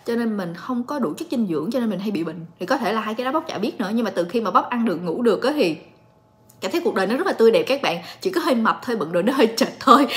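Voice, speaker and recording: Woman, late 20s, home vlogger; calm, chatty, slightly high-pitched, talks straight to her audience. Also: slight background noise. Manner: calm, chatty, addresses viewers directly. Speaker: vlogger and story reader. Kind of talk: casual chat to viewers. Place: living room at home.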